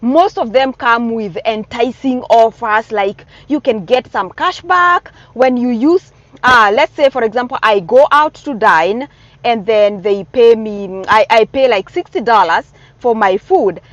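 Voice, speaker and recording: A woman's voice: fairly high pitch, unhurried, no room sound, dull audio. A middle-aged woman from Kenya with a Kenyan accent, earnestly giving casual advice in a vlog, repeating her warnings for emphasis. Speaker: vlogger giving advice to newcomers.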